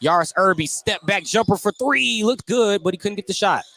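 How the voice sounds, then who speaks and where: A man's voice: sits high, lively intonation, normal speed, pushed and bright. A man doing live play-by-play basketball commentary for radio, conversational and given to joking asides between calls. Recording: clear background noise, live gym broadcast.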